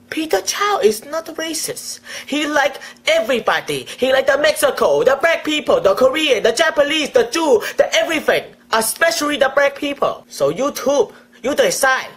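A man doing a furious ranter. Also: extremely high pitch. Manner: shouting, profane, broken English. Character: hot-tempered foul-mouthed Chinese male video maker. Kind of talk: comic rant to camera.

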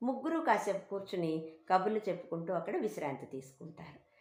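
A woman's voice: on the low side, sing-song, fast, darkish tone. A woman telling a moral bedtime story for children in a conversational, explanatory, didactic way. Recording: roomy, echoing home recording.

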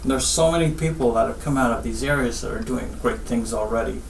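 Measured middle-aged man, roomy distant sound. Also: medium pitch, fairly even intonation, gravelly. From Guyana. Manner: measured, deliberate, short phrases with pauses. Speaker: spine surgeon, interview guest. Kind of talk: interview answers, health advice. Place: small office, seated at desk. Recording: roomy, distant mic, faint background noise.

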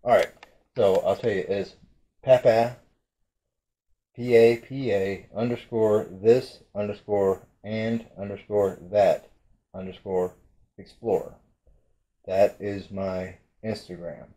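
A man's voice: on the low side, steady tone, slow pace, long pauses, warm tone, rough recording. A middle-aged man vlogging casually from his bedroom, rambling and hesitant, full of fillers and restarts. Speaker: urban exploration vlogger. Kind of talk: casual rambling vlog talk. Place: bedroom at home, indoors. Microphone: webcam or phone at arm's length.

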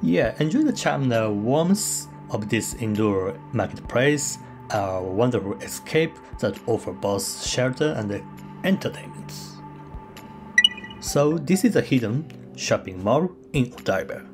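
A man narrating a travel vlog in English that is not his first language, pausing with fillers and halting phrasing. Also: medium pitch, animated, over music. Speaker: travel vlogger. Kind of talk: voice-over travel guide.

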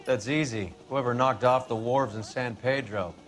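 An actor playing a cynical, brash 1940s American vice detective in a video game, talking in worldly slang. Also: male voice, mid-range voice, normal speed, fairly bright tone, lightly acted. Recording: music behind the voice.

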